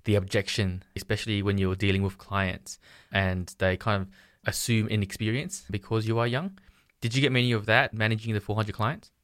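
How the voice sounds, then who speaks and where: A man hosting an interview, asking questions in a halting way with restarts. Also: fairly low pitch, fairly even intonation, fairly bright tone, no room sound, clean studio sound. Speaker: podcast host.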